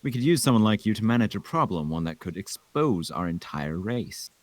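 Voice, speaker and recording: A man's voice: on the low side, animated, brisk, dry recording. A man reading a grave vampire leader. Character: stern male vampire leader, commanding. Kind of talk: amateur table read. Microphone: online voice call.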